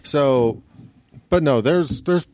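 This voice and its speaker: A man's voice: on the high side, slow pace, darkish tone, slight background noise. A man co-hosting a podcast in casual conversation. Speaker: podcast co-host. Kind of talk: casual chat.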